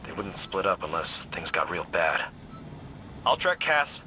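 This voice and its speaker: Game squad leader: gruff, wary soldier, terse orders, sound behind the voice. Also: male voice, low-pitched, sing-song, a little slow. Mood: commanding. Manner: terse, commanding. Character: gruff, wary male soldier leading a lost team. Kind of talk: video game voice acting. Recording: noise or music behind dialogue.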